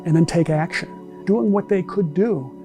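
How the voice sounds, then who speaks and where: A middle-aged man giving a measured personal recollection in a documentary interview. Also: high voice, dark tone, soft background music. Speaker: bereaved brother interviewed. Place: large meeting room with empty chairs.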